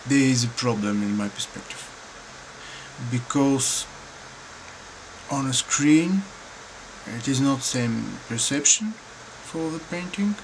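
Brief: middle-aged Bulgarian-accented man, unhurried, pausing often, hum of room noise behind. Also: medium pitch, low-quality recording. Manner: slow, halting, thoughtful, many pauses and fillers. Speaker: painter and filmmaker. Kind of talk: answering interview questions to camera. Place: dim room indoors at home.

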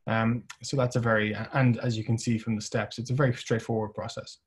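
A middle-aged man giving a measured webinar presentation over a video call, with many fillers and restarts. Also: mid-range voice, little pitch movement, breathy edge. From Ireland. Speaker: company chief presenting at a webinar.

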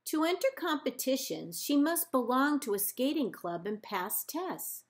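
A woman librarian reading a children's picture book aloud in measured, deliberate phrases with pauses. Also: high-pitched, big pitch swings. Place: indoors, book held up to camera.

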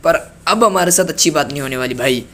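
A hoarse young man with a cold from Pakistan, giving chatty, playfully indignant commentary over his own gameplay as a gaming channel host. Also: fairly high pitch, lively intonation, fast, fairly bright tone.

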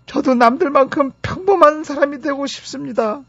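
A man's voice: very high-pitched, brisk, no room sound, muffled recording. A man voicing a hapless, self-pitying female office clerk who tells her story plaintively in a radio dramatization.

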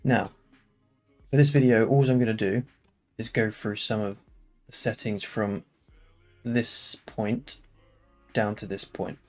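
A man with a slightly croaky voice, explaining game engine settings in a relaxed, unscripted screen-recorded tutorial at his computer. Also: fairly low pitch, takes it slowly, long pauses, soft background music.

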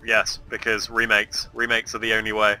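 A young English man co-hosting a gaming video, chatting casually and shouting excitedly during play. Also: lowish voice, moderate pace, soft background music, rough recording. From England. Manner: excitable, loud bursts of shouting. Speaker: gaming channel co-host. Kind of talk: casual gameplay chat.

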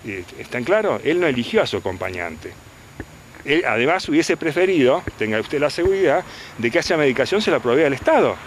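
Older man, defense lawyer, lively insistent delivery, press scrum mics, street noise behind. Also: mid-range voice, brisk, poor audio. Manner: insistent, rhetorical questions. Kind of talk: press interview. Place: outdoors by a detention unit. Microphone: handheld reporter mics.

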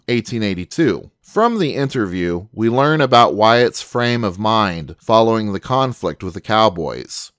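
Low, lively-pitched male documentary narrator. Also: dry recording, clean studio sound.

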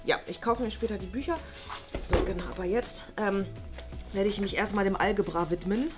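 A woman's voice: mid-range voice, steady tone, fairly quick, close to an everyday voice. A young woman playing an over-eager, nerdy schoolgirl in a comedy sketch, earnestly muttering to herself. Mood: earnest, busy. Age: young adult. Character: over-eager, nerdy model pupil, a fussy girl. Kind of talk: acted comedy sketch for online video. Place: room indoors, at a table. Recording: music behind the voice.

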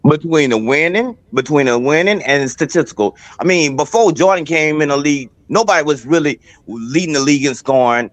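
A man's voice: a little high, lively intonation, brisk, few pauses, no echo. A man debating heatedly on a video call from his phone, insisting on his point and talking over others. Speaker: call-in panelist.

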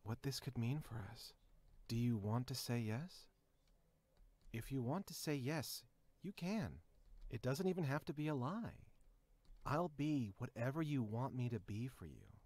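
Sweet, lively show-host spirit. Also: male voice, mid-range voice, a little slow, no room sound. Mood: coaxing, tender. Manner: gentle, teasing, coaxing. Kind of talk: visual novel voice acting. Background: game music.

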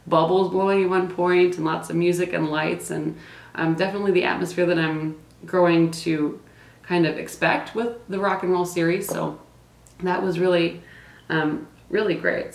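Young woman vlogger, casual and rambling, with a creaky low voice in a roomy indoor space. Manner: chatty, lots of fillers and "like". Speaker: running vlogger. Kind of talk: casual race recap to camera. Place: small indoor room, plain wall.

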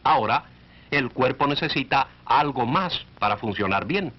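Male narrator for a 1940s health short: instructive, lively intonation, addresses the viewer directly, muffled old film sound. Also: mid-range voice, moderate pace. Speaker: narrator of an educational cartoon. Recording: dull, band-limited 1940s film soundtrack.

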